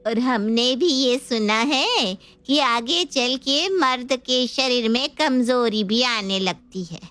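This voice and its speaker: A woman's voice: on the high side, animated, takes it slowly, a bit bright. A woman playing an outspoken, stubborn sister-in-law and mother of two who argues emphatically. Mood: argumentative. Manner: argumentative, emphatic. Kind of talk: Hindi family drama acting.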